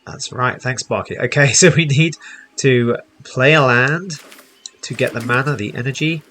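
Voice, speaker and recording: A man's voice: medium pitch, normal speed, sharp tone, slight background noise. A man hosting a casual game tutorial, explaining each step as he plays. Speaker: host, gaming teacher. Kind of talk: casual tutorial commentary over gameplay.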